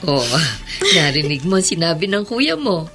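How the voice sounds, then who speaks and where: A woman's voice: sits low, big pitch swings, normal speed, fairly bright tone, full character voice. An actress playing a middle-aged mother, firm, nurturing and earnest, in a radio drama. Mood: consoling. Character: loving, firm, consoling widowed mother. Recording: music under the voice.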